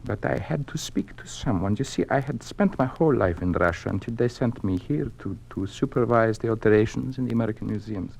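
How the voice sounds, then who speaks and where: A man's voice: lowish voice, vocal fry, a little mellow, husky. A man playing an earnest, cultured Russian communist museum official who is secretly troubled, speaking formally in a radio drama. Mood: anguished, earnest. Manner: formal, measured, confiding speech. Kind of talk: mid-century radio drama acting. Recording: noisy old radio drama recording.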